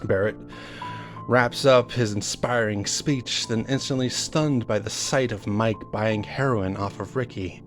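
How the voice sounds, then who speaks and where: Male horror narrator, fairly low register, conversational first-person retelling with halting, reminiscing delivery over faint music. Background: music under the voice.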